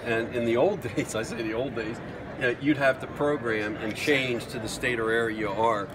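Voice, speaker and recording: A man's voice: medium pitch, fairly even intonation, moderate pace. A middle-aged man chatting enthusiastically as he presents gear and asks questions in a casual product demo. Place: trade show booth, indoors. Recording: noisy trade show floor, distant mic.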